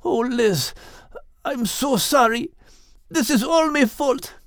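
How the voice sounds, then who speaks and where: A man's voice: very high-pitched, animated, slow pace, dry recording, studio quality, pitched above the performer's own voice, exaggerated voice. A man voicing a grieving, loyal male animal in a storybook reading, with an anguished, pleading manner.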